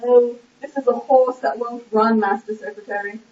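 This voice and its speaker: A woman's voice: a little high, fairly even intonation, normal speed, lightly acted. Woman as indignant nobleman, blunt, exclamatory, argumentative, amateur play, roomy echo, lo-fi recording. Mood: defiant. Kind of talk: stage-play acting in an amateur puppet show. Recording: echoey, muffled, distant lo-fi recording.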